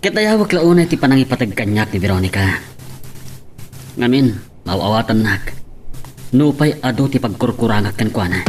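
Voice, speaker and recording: A man's voice: mid-range voice, big pitch swings, moderate pace, pauses often, faint music behind, lightly acted. A man playing a friendly young man in love in a radio drama, with a Filipino accent. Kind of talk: Ilocano radio romance drama acting.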